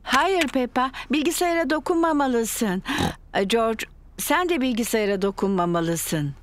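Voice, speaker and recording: A woman voicing a patient, cheerful cartoon mother pig with a gentle, sing-song motherly manner. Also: on the high side, expressive, a little slow, dry recording. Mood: calm. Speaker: Mummy Pig voice in a cartoon dub. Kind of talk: cartoon dubbing.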